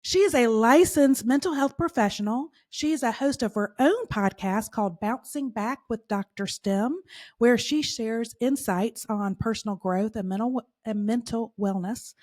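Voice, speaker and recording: A woman's voice: a little high, a little mellow. A woman hosting a podcast, opening with a scripted intro and then chatting with an upbeat, encouraging manner. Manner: upbeat and encouraging, quick laughs. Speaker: podcast host.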